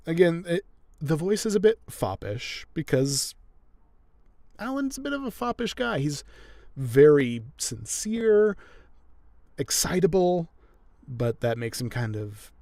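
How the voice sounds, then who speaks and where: A young man talking casually while he edits an audiobook, relaxed and chatty as he explains each step. The sound is dry and close, with no room echo. His pitch sits in an ordinary middle range. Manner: relaxed, chatty, laughs often, explains as he goes. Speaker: audiobook narrator and editor. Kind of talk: casual chat while editing.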